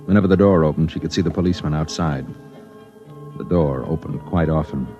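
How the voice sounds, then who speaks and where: An actor playing a persistent newspaper reporter who narrates the story in a plain, matter-of-fact way, in a 1950s radio drama. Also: male voice, rumbling low, brisk, mellow, highs cut off. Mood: narrating. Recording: old radio broadcast with music bed.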